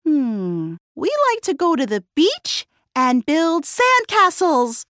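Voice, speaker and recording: A man reading a storybook aloud and voicing a sad, wistful little girl who speaks slowly and wonders aloud. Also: very high-pitched, huge pitch swings, sharp tone, no room sound, clean studio sound, pitched above the performer's own voice, exaggerated voice. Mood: eager.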